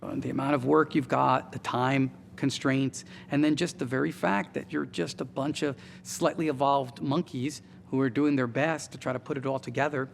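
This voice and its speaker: A man's voice: medium pitch, steady tone, normal speed, vocal fry, few pauses. A man speaking as a prize juror in a documentary interview. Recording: long reverb, faint room ambience noise.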